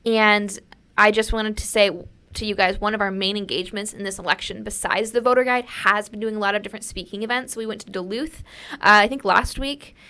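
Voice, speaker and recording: Upbeat young woman, a bit hoarse. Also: mid-range voice, fairly quick. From United States. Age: young adult. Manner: upbeat, energetic, talks to listeners directly. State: slightly hoarse from a cold. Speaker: podcast co-host. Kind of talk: casual podcast chat.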